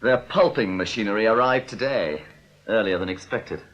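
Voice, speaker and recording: An actor playing a meek, downcast bank clerk in a radio drama, halting and polite, with a husky voice. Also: male voice, on the low side, fairly bright tone, lo-fi sound, close to an everyday voice. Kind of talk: British radio drama acting.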